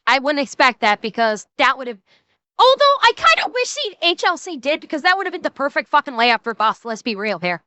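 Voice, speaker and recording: A woman's voice: high voice, sing-song, fairly quick, sharp tone, no echo. A woman streamer giving crude, profane, laughing reaction commentary.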